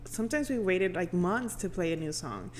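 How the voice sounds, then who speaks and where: A woman's voice: fairly low pitch, expressive, moderate pace. A woman radio host chatting casually as a guest, with informal, slangy phrasing peppered with filler words like "you know". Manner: informal, slangy, frequent 'like' and 'you know'. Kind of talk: casual chat. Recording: background room noise.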